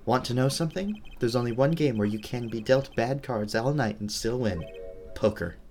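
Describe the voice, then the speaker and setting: A man voicing a calm, cryptic older mentor in a video game, knowing and measured. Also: medium pitch, faint music behind, natural voice. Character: cool, cryptic older male mentor, former lawyer and poker player. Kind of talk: video game dialogue read aloud.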